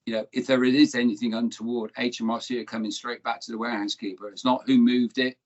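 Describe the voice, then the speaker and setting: A man's voice: medium pitch, level tone, fast talker. Man moderating over a muffled call. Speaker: webinar moderator. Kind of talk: webinar discussion. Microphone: video call. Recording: dull lo-fi call audio.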